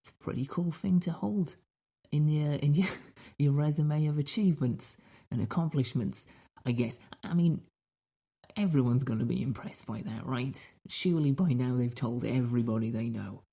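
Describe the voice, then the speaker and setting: Mellow, husky man hosting a podcast. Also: medium pitch, moderate pace. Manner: playful rhetorical questions and repetition. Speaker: podcast host. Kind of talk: casual chatty podcast monologue.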